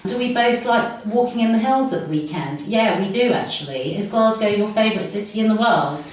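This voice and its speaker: A woman's voice: mid-range voice, expressive, a little fast, darkish tone, recorded from a distance. A woman in her forties with a London accent, a podcast guest giving reflective, flowing answers full of filler words. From London, England. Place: room recorded in person. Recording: very echoey room, set back from mic, faint noise.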